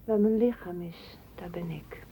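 A woman's voice: fairly low pitch, measured pace, dark tone, slightly rough, no echo, rough recording. A woman playing a withdrawn, sad lover in a radio play, subdued and introspective. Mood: lost, musing. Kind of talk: Dutch radio play acting. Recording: faint noise of old radio recording.

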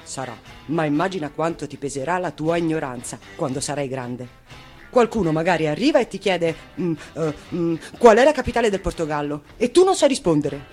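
A woman's voice: low-pitched, lively intonation, brisk, a little mellow, faint music behind. A woman voicing a stuffy grown-up policeman in a storybook, condescending, officious and lecturing. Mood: insistent. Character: stuffy, patronising adult policeman, insistent and exasperated.

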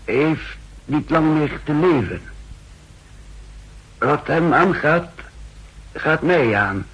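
A very breathy, husky man as a secretive intelligence official in an old radio spy play. He speaks deliberately and haltingly, with a fairly high pitch that moves widely as he confides. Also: animated, a bit bright, lo-fi sound, exaggerated voice. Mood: earnest, confiding. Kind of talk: 1960s Dutch radio drama acting. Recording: old 1960s radio drama recording.

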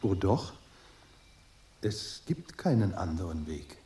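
A man playing a courteous, secretive monastery host in a German radio drama, formal, measured and guarded. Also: sits low, sing-song, dark tone, a little hiss or hum, full character voice. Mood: politely evasive. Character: courteous, secretive Chinese lamasery host, serenely evasive.

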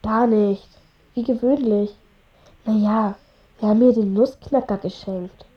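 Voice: mid-range voice, steady tone, a little slow, lo-fi sound, natural voice. A performer in a radio drama playing a sullen, brash ten-year-old boy, terse and defiant. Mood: weary, accusing.

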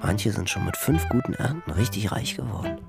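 An actor playing a plain-spoken working-class wagon driver who dreams of farm life, in a German radio play. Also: male voice, fairly low pitch, lively intonation, fairly quick, gravelly, over loud music. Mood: dreamy, persuading.

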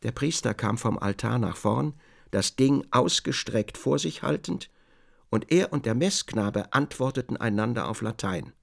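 A man's voice: mid-range voice, expressive, a little slow, creaky. A man narrating a literary radio drama as a storyteller, reading scripted prose.

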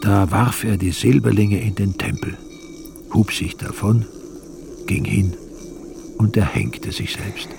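An actor as a grave gospel narrator in a radio drama, telling the story in a measured way. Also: male voice, on the low side, gravelly, over music. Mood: solemn, narrating.

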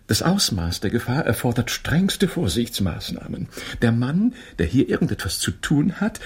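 Casting: self-assured Victorian sleuth, radio play, theatrical and explanatory, highly animated, gravelly edge. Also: male voice, exaggerated voice. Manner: theatrical, commanding, deliberately explanatory. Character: brisk, analytical male Victorian detective, self-assured and commanding. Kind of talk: German radio drama acting.

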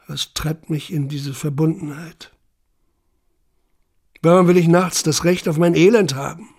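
An actor playing a haunted, self-questioning camp survivor in a literary audio monologue, intense and introspective, with a husky, gravelly voice. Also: male voice, on the high side, steady tone, measured pace, darkish tone. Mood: brooding, questioning. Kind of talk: literary first-person monologue in audio drama.

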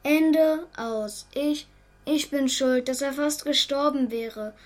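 Anxious little boy, high and plaintive, hesitant, for audio drama. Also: slow pace. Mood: guilty, dejected. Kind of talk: German audio drama acting.

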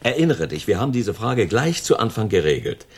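A man's voice: on the low side, sing-song, brisk, breathy edge. An actor playing a hard-nosed, calculating gang member in a radio crime drama, emphatic and lecturing in his delivery. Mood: insistent, heated.

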